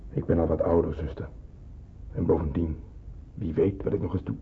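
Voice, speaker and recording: An actor playing a kindly older man in the resistance, earnest and gently persuasive, in a radio drama. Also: low voice, fairly even intonation, normal speed, slightly rough, exaggerated voice. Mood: earnest, pleading. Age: middle-aged. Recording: muffled, narrow-band old radio play, hissy.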